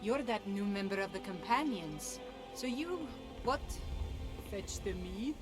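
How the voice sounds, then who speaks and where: A man's voice: sits high, animated, measured pace. Mocking fantasy game townsman. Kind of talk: video game character dialogue. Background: clear game music.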